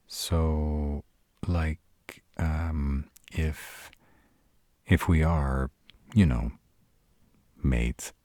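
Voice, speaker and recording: Very deep, husky sardonic vampire played by a man. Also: mellow, dry recording, exaggerated voice. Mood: awkward, vulnerable. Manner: sardonic, exasperated, halting when vulnerable. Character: sarcastic brooding male vampire, proud, guarded, secretly smitten. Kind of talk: intimate roleplay audio drama.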